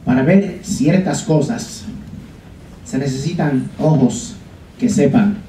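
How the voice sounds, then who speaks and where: Young guy reading a poem slow and steady, dark tone, echoey room from far off. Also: mid-range voice, slight background noise. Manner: slow, measured recital. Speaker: student reader. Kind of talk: dramatic poetry reading in Spanish. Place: college lecture room. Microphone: podium mic from a far camera.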